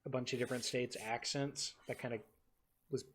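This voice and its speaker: A young man from the Texas Panhandle, co-hosting a podcast in casual chat, halting and repeating his words with many fillers. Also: medium pitch, little pitch movement, fairly bright tone, a little hiss or hum. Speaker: podcast co-host.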